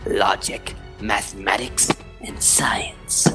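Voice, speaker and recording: A man's voice: on the low side, steady tone, slow, pushed and bright, in a whisper, faint music behind. A man voicing a scheming, commanding alien robot villain with an imperious, formal delivery in a dramatic comic dub. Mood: grandiose, cold.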